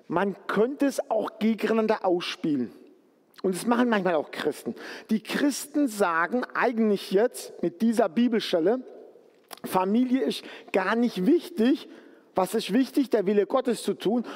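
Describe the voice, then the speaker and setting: A man from Turkey delivers a sermon in a church hall, speaking earnestly and conversationally, sometimes pleading with his listeners. His voice sits high for a man. A long reverb from the hall trails after his words. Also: darkish tone. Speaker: preacher.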